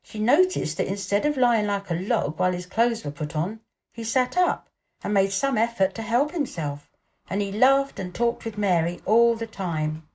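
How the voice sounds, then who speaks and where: A woman's voice: mid-range voice, animated, normal speed, a little mellow. Woman narrating a children's story. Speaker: storybook reader.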